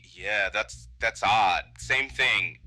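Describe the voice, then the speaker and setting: A man playing a pragmatic, harried computer technician in a horror film, explaining things in a measured, slightly defensive way. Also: on the low side, pushed and bright, heavily acted. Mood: puzzled, matter-of-fact. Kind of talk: found-footage horror film acting.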